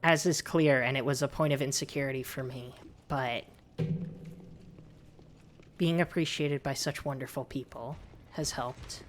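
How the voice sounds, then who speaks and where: A woman with a low, androgynous and slightly raspy voice, chatting casually with viewers while she plays and talks game lore. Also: fairly even intonation, unhurried, long pauses, slight background noise. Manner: soothing, chatty, thanks viewers often. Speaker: livestreamer and lore host. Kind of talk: casual livestream chat while gaming.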